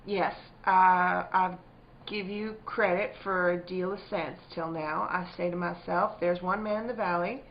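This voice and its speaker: Actress as a stern farm wife, muffled recording. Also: female voice, on the low side, steady tone, measured pace. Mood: guarded. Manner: rustic dialect, curt and defiant. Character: stern, guarded rural farm wife under suspicion. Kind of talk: radio play acting. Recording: dull sound, faint background noise.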